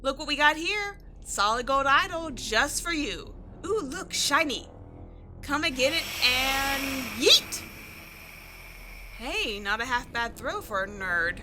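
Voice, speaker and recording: A woman acting in a roleplay audio drama as a brash young tomboy archaeologist with a soft side, animated, slangy and teasing. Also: high-pitched, slow, noisy background. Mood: taunting, excited.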